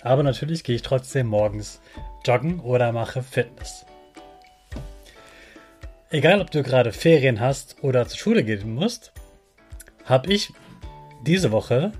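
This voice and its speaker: A man with a husky, medium-pitched voice hosts a podcast for children. He speaks straight to the young listener in simple, encouraging phrases. Music plays behind his voice. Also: lively intonation, a little slow, long pauses, darkish tone. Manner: encouraging, addresses the child directly in simple words. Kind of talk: motivational children's podcast talk.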